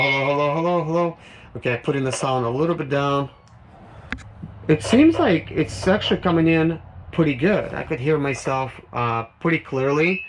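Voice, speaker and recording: A man giving casual, rambling hands-on commentary as he tests a product, thinking aloud as he goes. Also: fairly high pitch. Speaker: product reviewer. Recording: noisy recording with clicks.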